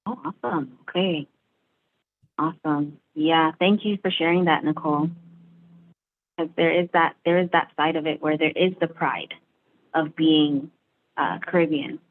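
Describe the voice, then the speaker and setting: A woman moderating an online discussion, thanking speakers and opening the floor to others. Her voice comes through a tinny, phone-like call line and sits slightly low. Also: a little slow, long pauses. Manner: encouraging, inviting others to speak.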